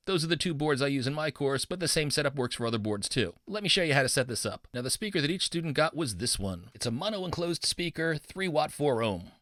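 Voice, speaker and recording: A man's voice: medium pitch, a bit bright, no echo, studio quality. A man narrating a scripted tutorial as an upbeat, enthusiastic instructor. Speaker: professor, instructor.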